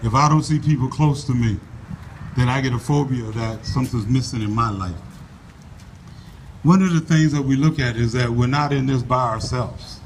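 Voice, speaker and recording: A man giving an earnest, folksy address to a crowd at an outdoor rally in a park, speaking loudly into a microphone and urging people to come closer. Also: medium pitch, normal speed. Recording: voice from far off the mic, faint background noise.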